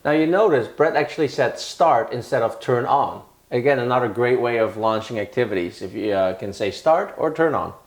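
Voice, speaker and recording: A man's voice: medium pitch, expressive, moderate pace, hardly any pauses. A middle-aged man demonstrating a product step by step in an echoey living room. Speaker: product demo presenter.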